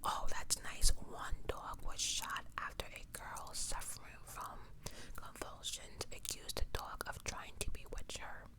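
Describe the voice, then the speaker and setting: ASMR host, pure soft whisper throughout, true-crime narration broken up by casual slangy commentary. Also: a little slow. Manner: casual, slangy asides between read passages.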